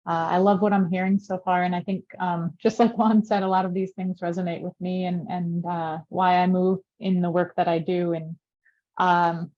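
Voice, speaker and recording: A woman's voice: fairly low pitch, level tone, moderate pace, a little mellow. A woman moderating a panel over a video call. She thanks the speakers and talks casually, with "like" and "you know". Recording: slightly dull lo-fi audio.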